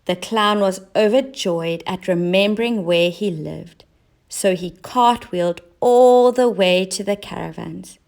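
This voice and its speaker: A woman's voice: mid-range voice, a little slow, gravelly, a little mellow. A woman narrating a children's storybook as a teacher reading aloud.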